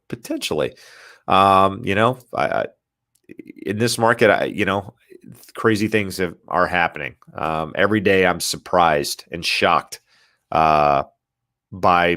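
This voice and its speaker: A very low-pitched man sounding stuffed-up and nasal, talking loosely through a live Q&A. He hesitates, restarts and fills gaps with "um" as he picks viewer questions. The sound is dry and close. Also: fairly bright tone, no room sound. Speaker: real estate livestream host. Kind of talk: casual live Q&A.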